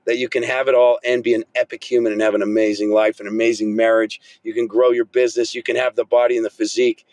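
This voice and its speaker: A man's voice: medium pitch, little pitch movement, fast talker, a little mellow, no room sound, rough recording. A man in his early forties speaking as a coach on a podcast, casual and motivational, blunt and fervent. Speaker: men's coach, podcast guest.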